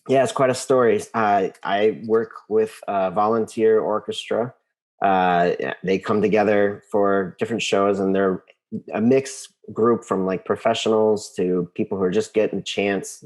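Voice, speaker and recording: Man, interview guest, fairly low voice, conversational storyteller, halting with repeated words.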